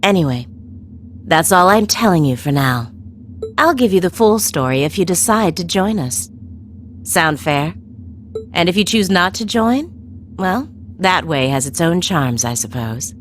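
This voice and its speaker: Coy, teasing, low-voiced woman villain. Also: expressive, a little slow, pauses often, faint music behind. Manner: coy, teasing, sing-song. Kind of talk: video game voice acting.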